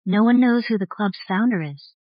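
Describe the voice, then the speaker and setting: A woman's voice: medium pitch, moderate pace, no room sound, muffled recording. A woman delivering scripted narration of a fantasy story.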